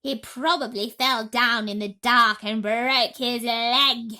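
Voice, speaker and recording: A woman's voice: on the high side, fairly even intonation, slow pace, bright, forceful tone. A woman voicing a cruel, greedy aunt in a storybook, drawing out her nasty words with emphasis. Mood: gloating, spiteful. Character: cruel, greedy, spiteful middle-aged aunt, storybook villain.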